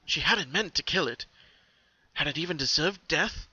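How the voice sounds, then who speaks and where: A man's voice: fairly high pitch, lively intonation, normal speed, husky, dry recording, lo-fi sound. A man giving a dramatic scripted narration of a fan fiction story as the reader. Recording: very loud background noise.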